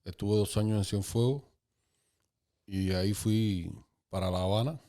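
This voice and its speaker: A Cuban man in his fifties, a podcast guest calmly recalling his life story in casual conversation. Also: fairly low pitch. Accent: Cuban Spanish. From Cuba. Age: 50s. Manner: calm, unhurried, recalling dates and places.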